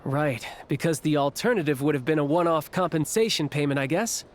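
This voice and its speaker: A man's voice: on the high side, a little fast, slight background noise, close to an everyday voice. A man playing an easygoing young soldier in a video game, conversational and a little wry. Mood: wry, thoughtful. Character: easygoing young male soldier, dutiful older brother. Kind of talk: video game dialogue acting. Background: game music.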